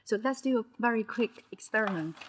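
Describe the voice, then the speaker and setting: A woman in her thirties from mainland China, a Chinese speaker with a Chinese accent touched by Scouse, giving a conference talk in measured short phrases. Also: on the high side, expressive, slight background noise. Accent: Chinese with a Liverpool (Scouse) tinge. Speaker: conference presenter. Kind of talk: personal storytelling talk.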